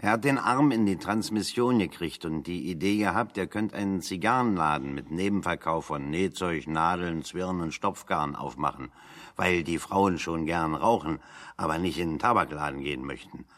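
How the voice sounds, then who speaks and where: A man's voice: low voice, normal speed. A man acting in a German radio play as a plain-spoken, dryly ironic exile in conversation. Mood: deadpan. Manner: deadpan, ironic.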